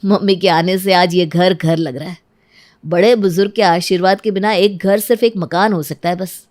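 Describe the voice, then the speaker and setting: A woman's voice: on the low side, steady tone, normal speed, a little mellow, natural voice. A woman voicing an affectionate, devoted son and father in a cartoon story, explaining things tenderly. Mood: content. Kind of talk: Hindi cartoon story acting.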